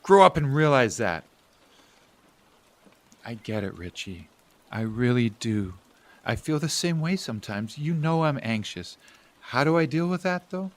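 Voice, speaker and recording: Guy acting a fed up but caring brother in an audio drama, kinda preachy, blunt, normal pitched voice. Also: expressive, unhurried, long pauses, natural voice. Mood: exasperated. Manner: blunt, emphatic, swinging between exasperated and hushed. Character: frustrated, lecturing family-man brother, anxious but caring.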